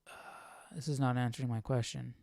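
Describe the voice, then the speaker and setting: A man hosting a casual live Bible study, reading aloud and thinking out loud in an informal, slangy way. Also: mid-range voice, steady tone, moderate pace, vocal fry, dry recording.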